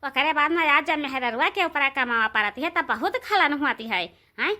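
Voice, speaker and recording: A woman's voice: high voice, moderate pace, sharp tone. A woman voicing a bossy, scolding village housewife in a comedy cartoon, with an eastern Uttar Pradesh accent and emphatic, repetitive complaints. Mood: indignant scolding.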